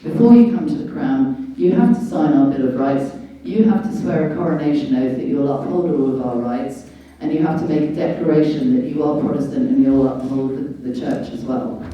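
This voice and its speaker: Low-voiced British woman lecturing in echoey hall. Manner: emphatic, didactic, with rhetorical questions. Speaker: guest speaker, lawyer. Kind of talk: informal public lecture on law and rights. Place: large echoey indoor venue at a public meeting. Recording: dull, very echoey hall, distant mic.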